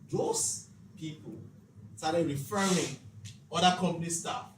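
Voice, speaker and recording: A man's voice: on the high side, unhurried. A man giving a motivational, faith-based teaching talk in an emphatic, preacherly manner, with rhetorical questions. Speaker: speaker leading a financial literacy leadership session. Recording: echoey room, distant mic, clear background noise.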